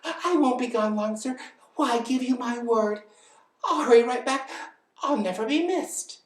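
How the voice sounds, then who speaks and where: A woman's voice: on the high side, a little slow, echoey room, voice pushed up, close to an everyday voice. A woman voicing a lazy female bird who whines, wheedles and pleads in an exaggerated way for a children's story. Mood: pleading.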